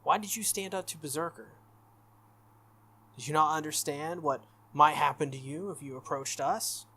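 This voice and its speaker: A game player voicing a composed, solemn young woman knight from a visual novel with formal, measured, blunt courtesy. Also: mid-range voice, bright, forceful tone, a little hiss or hum, natural voice. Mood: formal, probing.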